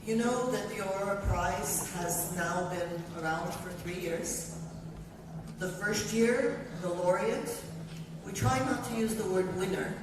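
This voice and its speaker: A woman's voice: medium pitch, measured pace, background noise. An older woman giving an earnest, emphatic speech to a university audience, introducing a guest in short listing phrases. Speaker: event speaker introducing a guest. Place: large university hall. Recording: echoey hall, voice from far off.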